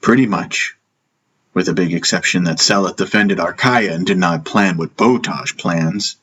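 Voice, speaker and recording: A man voicing a sardonic, world-weary king who speaks haltingly to his brother in a fantasy audiobook. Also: low voice, a bit bright, slightly rough. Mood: wry, blunt. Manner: sarcastic, halting, hesitant. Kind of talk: fantasy audiobook character acting.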